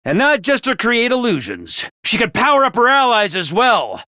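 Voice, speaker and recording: Peppy cartoon mascot commander, very high voice, swooping intonation, bossy, theatrical, video game style. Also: male voice, huge pitch swings, normal speed, sharp tone, no echo, clean studio sound, full character voice. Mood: stern, serious. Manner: theatrical, bossy, jaunty drill-sergeant delivery. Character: peppy, bossy little mascot commanding officer of student soldiers. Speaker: voice actor for a video game character. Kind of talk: animated video game voice acting.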